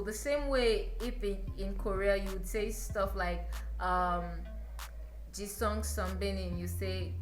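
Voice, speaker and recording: A woman's voice: medium pitch, roomy sound. A young woman from Nigeria, chatting casually to camera in her vlog and repeating words for emphasis. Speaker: vlogger.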